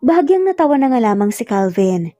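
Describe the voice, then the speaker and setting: Mellow, high-voiced Filipino woman storyteller. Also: sing-song, brisk, dry recording, lo-fi sound, faint music behind. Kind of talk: scripted romance story narration.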